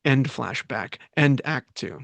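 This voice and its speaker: A man reading the stage directions of a script at a table read, steady and matter-of-fact. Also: mid-range voice, takes it slowly, vocal fry, no room sound.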